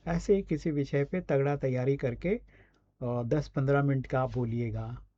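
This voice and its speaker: Male Indian coach, mid-pitched and soft-toned, explanatory online teaching with frequent check-ins, dull recording. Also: normal speed. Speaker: voice and radio scriptwriting coach.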